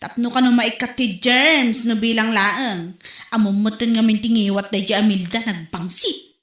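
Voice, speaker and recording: A woman's voice: on the high side, moderate pace, fairly bright tone, natural voice. An actress playing a chatty, gossiping neighbour woman in a comedy audio drama, speaking with an Ilocano accent from the northern Philippines. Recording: echoey room.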